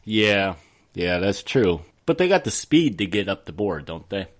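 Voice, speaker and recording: A man's voice: low voice, very animated, a little slow. A man co-hosting a podcast in casual chat, teasing and reacting to his fellow speakers. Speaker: podcast co-host.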